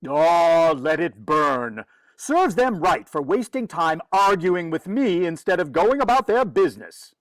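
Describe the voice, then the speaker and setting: Woman as low-pitched, exasperated radio-comedy daddy. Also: big pitch swings, measured pace, no room sound. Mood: grumbling. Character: exasperated, easily flustered father. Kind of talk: old-time radio comedy acting.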